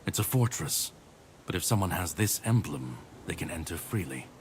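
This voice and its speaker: An actor playing a grim, world-weary warrior on a mission in a video game, speaking in a grave, measured way. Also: male voice, sits low, expressive. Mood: businesslike, conspiratorial. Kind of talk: dramatic video game dialogue acting. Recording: clear background noise and ambience.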